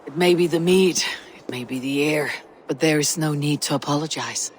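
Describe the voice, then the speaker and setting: An actress playing a stoic, loyal female Viking raider with a measured, plain-spoken manner in a video game. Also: deep voice, a little hiss or hum, lightly acted. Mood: reassuring. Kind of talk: video game cutscene acting.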